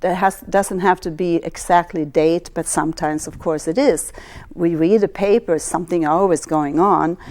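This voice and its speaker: Swedish lady rambling through an astrology talk, lowish voice, lots of ums and restarts. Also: a little mellow. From Sweden. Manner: rambling, halting delivery with frequent restarts and fillers. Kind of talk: astrology lecture.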